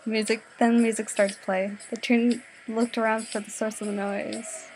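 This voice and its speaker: A woman's voice: on the high side, level tone, moderate pace, a little mellow, soft background music, rough recording. A woman narrating a comedic story in an informal amateur style, now and then stumbling over words. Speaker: reader narrating fan fiction.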